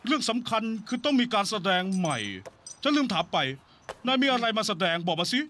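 A man dubbing a plump, soft, cheerful cartoon animal who runs a stage show. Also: very high-pitched, animated, a little fast, heavily acted. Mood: showy. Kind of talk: Thai cartoon dub.

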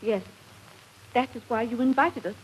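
An actress with a British accent playing an earnest, anxious young wife in a 1950s radio drama, with emotional delivery. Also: female voice, fairly high pitch, normal speed, close to an everyday voice, low-quality recording. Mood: reproachful. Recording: hissy 1950s radio recording.

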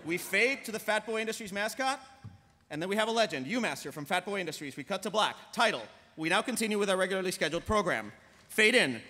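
A man's voice: sits high, animated, fast, pushed and bright. A middle-aged man reading stage directions as host of a live table read, announcer-like. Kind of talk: live scripted narration. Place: large indoor convention hall. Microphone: podium microphone. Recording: echoey convention hall, faint noise.

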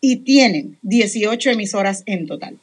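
A middle-aged woman with a Puerto Rican accent, a radio director moderating a panel on a video call, talkative and animated, joking at her own expense. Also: mid-range voice, normal speed, husky. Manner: talkative, animated, self-deprecating. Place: home room with headphones and studio mic. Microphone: studio microphone on a video call.

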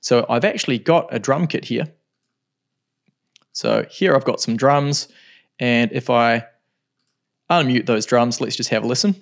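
A man in his thirties teaching music software in a home studio, explaining calmly with frequent ums. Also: mid-range voice, a little slow, vocal fry, pauses often. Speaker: music production tutor. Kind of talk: screen-recorded software tutorial.

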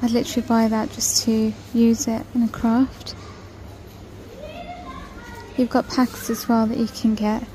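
Woman, casual shop vlog voice, slightly high, flat delivery, mellow tone; faint store hum behind. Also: a little slow, pauses often, poor audio. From United Kingdom. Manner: chatty, listing prices while browsing. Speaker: shopping vlogger. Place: large store aisle, indoors. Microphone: handheld phone camera.

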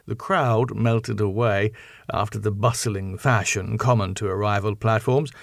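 A man's voice: lowish voice, fairly even intonation, a little slow, gravelly, no room sound, studio quality. A man reading a classic novel as scripted, dramatic audiobook narration. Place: quiet dry recording space.

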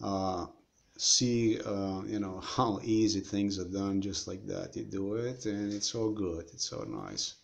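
A man's voice: low-pitched, animated, slow, creaky, lo-fi sound. Rambling man talking to his webcam. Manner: meandering, repeats himself, addresses viewers directly. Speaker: vlogger. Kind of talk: rambling screen-share commentary.

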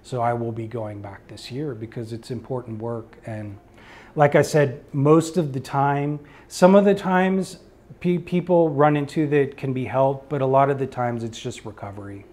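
A male artist answering audience questions at a gallery talk, speaking informally in a reflective, halting, earnest way. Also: mid-range voice, a little mellow. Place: indoor art gallery before a small seated audience.

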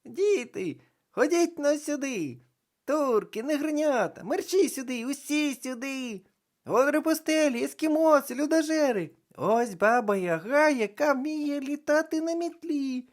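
The reader voicing a twelve-year-old girl dressed as a witch, loud and excitable. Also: mid-range voice, measured pace, pitched above the performer's own voice. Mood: excited.

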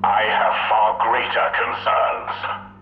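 A man's voice: lowish voice, flat delivery, slow, faint music behind. An actor playing a stern, imperious male inquisitor in a video game, cold and commanding in his delivery. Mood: cold, unyielding. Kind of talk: video game cutscene acting. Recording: muffled radio transmission, echoing.

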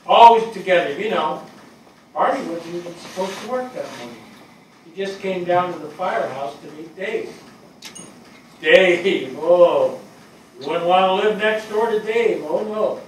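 A man's voice: high voice, expressive. Stage reading: older male actor as weary, affectionate fire captain, conversational storyteller, distant and very reverberant. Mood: fond, amused. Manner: conversational, storytelling, with pauses and repeats. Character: weary, affectionate fire captain reminiscing about lost men. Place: small theatre stage before an audience. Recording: echoey theatre, far from mic, faint noise.

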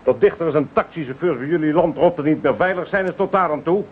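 An actor playing a gruff, sarcastic, blustering harbour police inspector in a radio drama. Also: male voice, on the high side, quick pace, slight background noise, lightly acted. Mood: angry. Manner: blustering, sarcastic, nautical slang. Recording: muffled, thin old radio recording.

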